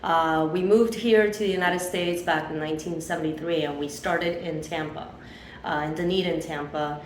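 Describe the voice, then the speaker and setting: A woman's voice: deep voice, steady tone, moderate pace, reverberant, slight background noise. A woman in her fifties from Chile who grew up in Miami, telling her life story in a rambling, chatty way as an interview guest. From Chile, raised in Miami. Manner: chatty, rambling with many fillers.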